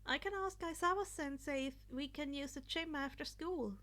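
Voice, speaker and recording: A woman's voice: high voice, moderate pace, fairly bright tone. A woman voicing an earnest, analytical teenage boy who thinks aloud with hesitant stammers in an audiobook story. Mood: friendly, helpful. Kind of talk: acted fan fiction audiobook dialogue.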